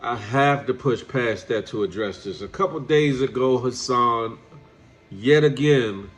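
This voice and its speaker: A man's voice: mid-range voice, unhurried. A man with a stuffed-up, nasal voice from allergies, giving a preachy direct-address commentary and calling the person he lectures "my brother.". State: congested from allergies. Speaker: YouTube commentator.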